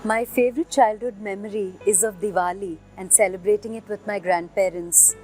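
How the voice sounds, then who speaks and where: A woman's voice: medium pitch, steady tone, normal speed, darkish tone, soft background music, low-quality recording. A woman with an Indian accent telling a personal memory in a composed, storytelling way.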